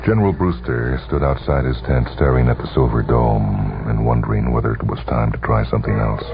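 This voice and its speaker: A man narrating a story for radio in a deliberate, dramatic manner with weighty pauses. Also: a bass voice, vocal fry. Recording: dull lo-fi old radio recording. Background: music.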